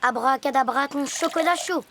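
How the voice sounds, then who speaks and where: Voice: sits high, moderate pace, background noise. An actor voicing a kind, practical little boy hippo in a preschool cartoon, with a childlike, reassuring, matter-of-fact delivery. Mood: practical, helpful. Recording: loud children's music behind.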